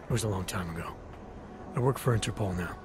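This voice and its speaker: An actor playing a calm, serious former cop turned Interpol agent in a game, terse and steady, over loud music. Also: male voice, sits low, fast talker, natural voice. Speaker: game protagonist.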